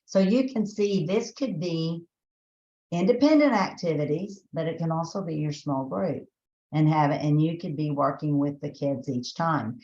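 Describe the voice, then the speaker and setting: A woman's voice: sits low, normal speed, mellow, roomy sound, muffled recording. A woman from Texas with a Southern accent, presenting a teacher training webinar in a chatty way, often restarting her sentences. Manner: chatty, thinks aloud with restarts and fillers.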